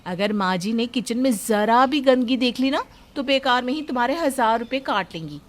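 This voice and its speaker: A woman voicing a brisk, dismissive young daughter-in-law in a household story, giving offhand instructions. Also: on the high side, expressive, lightly acted. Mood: brisk warning.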